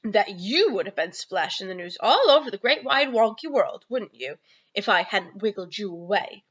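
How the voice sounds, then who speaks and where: A woman plays a big friendly giant from a storybook, earnest and excitable. The voice is bright and forceful, leaning hard on drawn-out, muddled words. The recording sounds slightly dull. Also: medium pitch, slightly rough. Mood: excited warning. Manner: emphatic, drawn-out words in mangled folksy grammar. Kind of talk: children's storybook read-aloud.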